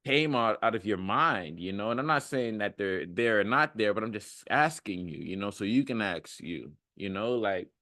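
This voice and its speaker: A man with a lowish voice talks straight to his audience in a dry, close recording. His delivery is a casual, earnest self-development monologue. He rambles in slangy phrasing and repeats his points to drive them home. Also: expressive, normal speed, few pauses, pushed and bright, no echo. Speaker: self-development video creator. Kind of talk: casual motivational monologue to viewers.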